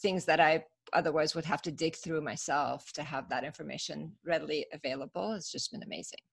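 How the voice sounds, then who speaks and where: Woman, fifties, from Iran, low voice, gravelly, reflective interview storytelling, fillers. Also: steady tone, a little fast. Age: 50s. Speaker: podcast guest, entrepreneur. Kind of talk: casual interview chat.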